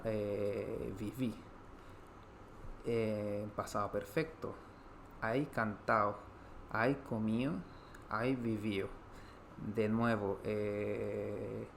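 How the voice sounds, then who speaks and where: A man from Chile with a Chilean Spanish accent, explaining grammar in an online language lesson, pausing often on "eh" and restarting phrases. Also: lowish voice, vocal fry, slight background noise. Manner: explanatory, frequent "eh" fillers, repeats and restarts. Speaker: Spanish teacher.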